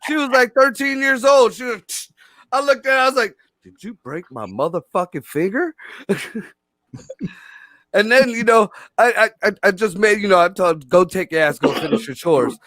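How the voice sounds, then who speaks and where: A man's voice: high-pitched, animated, moderate pace, fairly bright tone, dry recording. A man telling stories in casual chat, blunt and full of profanity.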